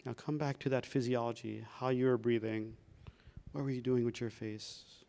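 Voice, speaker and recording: A man, a doctor leading a workshop, guiding a reflection exercise with slow, calm prompting questions. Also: medium pitch, gravelly.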